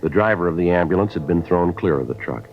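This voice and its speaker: An American actor playing a terse, deadpan police detective who narrates his case in a 1950s radio drama style. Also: low voice, fast. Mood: grim, matter-of-fact. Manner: deadpan, matter-of-fact. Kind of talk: 1950s radio acting, clipped scripted narration. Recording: dull vintage radio recording with music.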